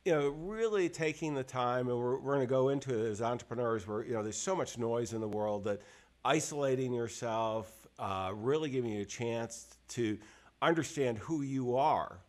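Halting, rambling podcast host. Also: male voice, mid-range voice. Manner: rambling, halting questions full of fillers. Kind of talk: interview questions. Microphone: video call.

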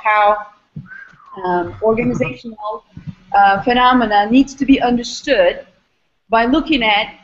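A middle-aged woman from Istanbul, Turkey, giving explanatory interview answers as a guest, in measured, academic phrasing. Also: on the high side, dull audio. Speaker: interview guest, startup founder and former academic.

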